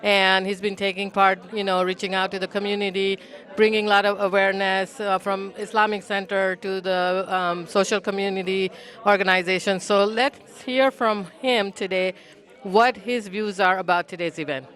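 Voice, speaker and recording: A woman's voice: medium pitch, steady tone, normal speed, fairly bright tone. A woman hosting interviews at an event, chatty and finishing her guests' sentences. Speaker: host. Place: consulate reception hall.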